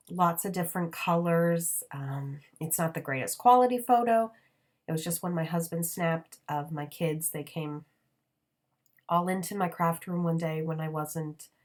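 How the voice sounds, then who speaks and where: A woman with a cold-roughened, raspy voice, chatting casually through a scrapbooking process video with lots of fillers and restarts. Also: fairly low pitch. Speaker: scrapbooking vlogger. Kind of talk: casual craft process narration.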